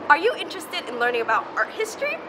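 A woman's voice: high-pitched, huge pitch swings, fast talker, noisy background. A teenage girl hosting a student news show outdoors, reading school announcements in an upbeat manner. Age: teens.

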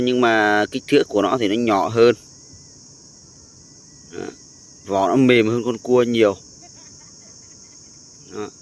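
A man speaking as a vlogger, casually explaining things in an informal, halting way while outdoors. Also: mid-range voice. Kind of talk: casual narration of a nature vlog. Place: outdoors on a sandy tidal beach.

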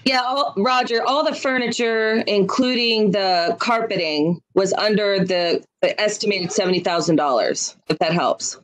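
A woman's voice: mid-range voice, normal speed, hardly any pauses, poor audio. A woman on staff answering a board member's question on a video call, explaining the figures plainly and helpfully.

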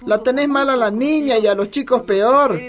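A woman's voice: fairly high pitch, moderate pace, lightly acted. A woman playing a feisty, scolding elderly grandmother in a radio soap, with a Bolivian accent.

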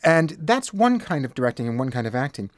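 A man's voice: medium pitch, sing-song, quick pace, no echo. Older man talking, dry studio recording. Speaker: audiobook director. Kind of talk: casual interview talk.

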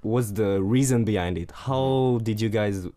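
A young man from France hosting a casual radio interview in a studio, speaking lively with frequent laughs. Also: lowish voice, a little slow. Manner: lively, laughs often, repeats words. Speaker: radio host. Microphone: studio mic on a stand.

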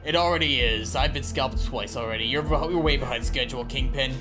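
A man's voice: medium pitch, brisk, close to an everyday voice. A man playing a mischievous middle-aged mayor and villain in an improvised parody game dub, with a mock-pompous, smug manner. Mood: smug, taunting. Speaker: voice actor in a comedy fan dub. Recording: loud music over the voice.

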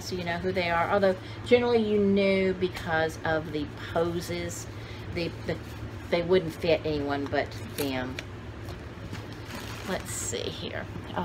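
Older female vlogger, 60s, mid-pitched voice, rambling casual chat, recorded at home with clear background noise. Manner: chatty, rambling, thinking aloud. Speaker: vlogger showing vintage paper dolls. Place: indoor room at home with cluttered shelves. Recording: clearly noisy home video sound.

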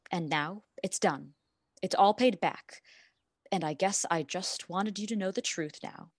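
A woman's voice: fairly low pitch, no echo, clean studio sound. An actress in a radio play playing a weary, hardened woman who speaks plainly and earnestly. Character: weary, hardened woman worn down by years of debt.

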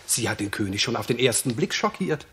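A man's voice: medium pitch, sing-song, brisk, fairly bright tone. A gossipy steward at a royal court. He tells his tale eloquently and wryly in a mid-range male voice, in 1960s German radio drama style. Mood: wryly amused. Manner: eloquent, wry storytelling.

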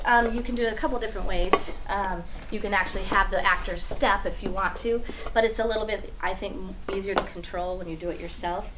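A middle-aged woman giving an informal hands-on demonstration, explaining haltingly as she handles props. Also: medium pitch. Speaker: radio drama troupe demonstrator. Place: small radio studio, indoors. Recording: noisy off-mic room sound, prop clatter.

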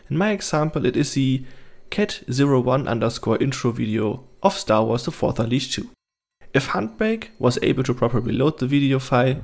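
A man's voice: mid-range voice, gravelly, a little mellow. A man reading scripted step-by-step instructional narration as a tutorial host. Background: background music.

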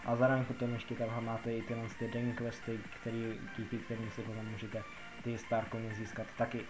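A man's voice: mid-range voice, completely flat delivery, music in the background. A young adult Czech man giving a casual game tutorial, explaining in a rambling, repetitive, colloquial way. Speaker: gaming video host.

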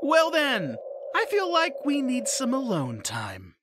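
A woman's voice: sits high, huge pitch swings, slow, very noisy, full character voice. A woman playing a smug, vengeful spirit villain in an audio drama, theatrical and mocking. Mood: smug, menacing.

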